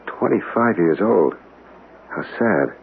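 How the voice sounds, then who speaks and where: An actor playing a courteous, intrigued antiques enthusiast in a radio drama, earnest and somewhat hesitant. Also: male voice, low-pitched, animated, slow, vocal fry, exaggerated voice. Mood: intrigued, wistful. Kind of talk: old-fashioned radio drama acting. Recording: tinny noisy old radio broadcast.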